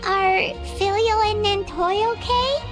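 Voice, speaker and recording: A woman voicing a small, curious, chatty fairy companion with a squeaky childlike voice in a video game. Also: fairly even intonation, slow pace, music in the background.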